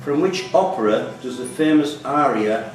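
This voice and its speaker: A man's voice: mid-range voice, animated, normal speed. A man reading out questions as the quiz master at a live quiz. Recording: echoey room, distant mic, loud background music.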